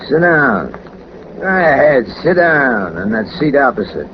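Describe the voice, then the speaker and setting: Lonely talkative hitman from a 40s radio show, matter of fact menace, pitch moves a lot, dull old recording. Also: big pitch swings, unhurried, noisy background. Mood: curt, threatening. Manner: colloquial, ungrammatical tough-guy talk, matter-of-fact. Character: lonely, chatty, businesslike hired killer, casually menacing. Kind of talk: 1940s radio crime drama acting.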